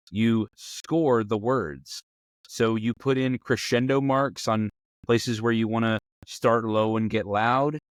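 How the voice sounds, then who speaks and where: A man speaks as the host of a role-playing advice show. His voice sits at an average pitch and he explains things conversationally. The sound is dry, with very little room in it. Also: no echo.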